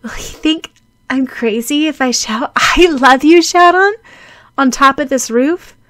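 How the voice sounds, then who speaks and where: Woman doing a gushy lovestruck girl for an audiobook, excitable, gets flustered easy, pitched up a bit. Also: unhurried, no room sound. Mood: flustered longing. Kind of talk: audiobook character voice.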